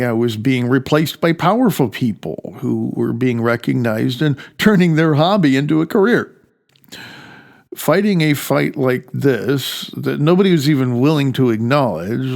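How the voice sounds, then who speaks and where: A man reads his own audiobook memoir in a mid-pitched voice with heavy vocal fry. His delivery is somewhat halting, with repeated words and small stumbles left in. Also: darkish tone. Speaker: author reading own audiobook.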